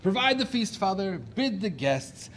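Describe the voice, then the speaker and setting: A glib, cocksure suitor in a Shakespearean comedy. His high voice swings widely as he declaims and boasts, with some clear background noise. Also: big pitch swings, a little slow, fairly bright tone, exaggerated voice. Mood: triumphant. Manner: declamatory, theatrical Shakespearean delivery. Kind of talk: classic stage comedy audio play.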